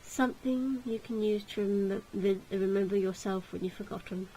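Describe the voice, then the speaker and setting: A woman voicing a stern yet gentle male tutor in a visual novel, speaking in a soft, measured, reflective way. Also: medium pitch, slight background noise, natural voice, low-quality recording. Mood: quiet, wistful.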